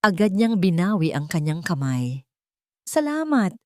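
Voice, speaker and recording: A woman's voice: lowish voice, lively intonation, moderate pace, a little mellow, no room sound, clean studio sound. A woman narrating a scripted romantic story in a dramatic storytelling style.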